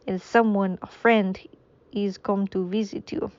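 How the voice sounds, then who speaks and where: A woman voicing a kindly, gentle male magistrate, putting on a foreign accent and speaking slowly and carefully in a dull, dry recording. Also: medium pitch, steady tone, clear, resonant tone, lightly acted. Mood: sympathetic.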